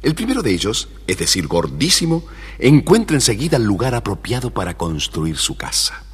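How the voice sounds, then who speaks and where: A man narrating a scripted children's tale with an expressive, deliberate storyteller delivery. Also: on the low side. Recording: reverberant, faintly noisy old story record.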